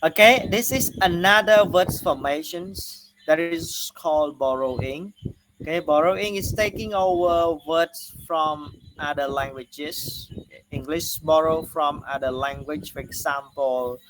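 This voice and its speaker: Slow, halting Khmer-accented male lecturer. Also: fairly high pitch, a bit bright, slight background noise, low-quality recording. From Cambodia. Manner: slow, halting, many "uh" and "okay" fillers. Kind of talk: online lecture.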